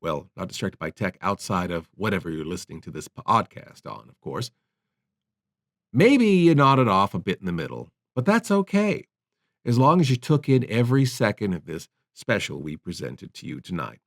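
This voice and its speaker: A man's voice: fairly low pitch, big pitch swings, moderate pace, gravelly. A man hosting a podcast, giving tongue-in-cheek commentary in a wry, mock-sincere way. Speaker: podcast host.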